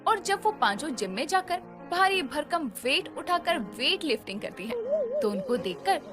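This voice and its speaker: A female narrator tells a scripted moral story. Her voice is high and moves expressively up and down. Background music is clearly audible throughout. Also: very animated, moderate pace.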